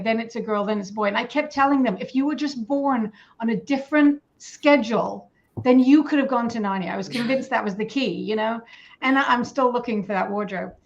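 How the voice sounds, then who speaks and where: A woman's voice: on the high side, creaky, muffled recording. A middle-aged woman author chatting casually on a panel, with lots of fillers and restarts.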